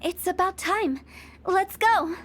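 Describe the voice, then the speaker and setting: Really high girly funeral director, theatrical n teasing, bit spooky, game music behind. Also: slow. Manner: theatrical, mischievous. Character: playful, eerie, teasing young woman funeral parlor director. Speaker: video game voice actor. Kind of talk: scripted video game character dialogue.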